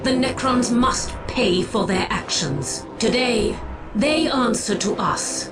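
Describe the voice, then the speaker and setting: An actress playing a solemn, commanding alien war seer in a video game, speaking gravely and formally with theatrical weight. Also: female voice, a little high, expressive, takes it slowly, over music. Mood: grave, defiant. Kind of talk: dramatic video game voice acting.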